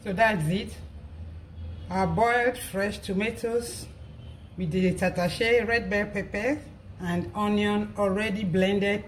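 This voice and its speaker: A woman with a hoarse, croaky voice calmly talks through a recipe as she cooks at home. Pots clatter and oil sizzles in the background, clearly audible under her voice. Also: mid-range voice, takes it slowly. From Nigeria. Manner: relaxed, explains each step while cooking. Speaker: cooking channel host. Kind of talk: casual cooking narration. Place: home kitchen. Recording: noisy kitchen. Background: pots clattering and food frying.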